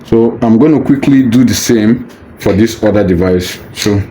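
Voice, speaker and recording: A man's voice: lowish voice, moderate pace, dark tone, rough recording. A man patiently walking through a hands-on unboxing tutorial, casual and reassuring, repeating his steps as he goes. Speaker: product demonstrator. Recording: faint background noise.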